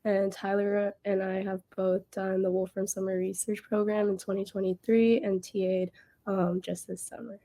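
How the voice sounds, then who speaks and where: Young woman presenting, mellow voice. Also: medium pitch, flat delivery, normal speed. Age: young adult. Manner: casual, with filler ums. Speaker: university student presenter. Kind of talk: presenting a project.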